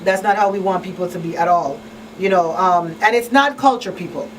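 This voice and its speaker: A woman's voice: on the low side, brisk. A woman speaking conversationally as a talk show panelist, making her points emphatically with rhetorical questions. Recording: distant mic, faint background noise.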